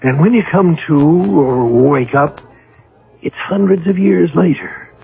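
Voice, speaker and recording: An actor playing a dreamy older father who rambles through tall tales with a creaky voice in a radio drama. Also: fairly high pitch, animated, unhurried. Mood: earnest, rambling. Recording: tinny old radio drama recording.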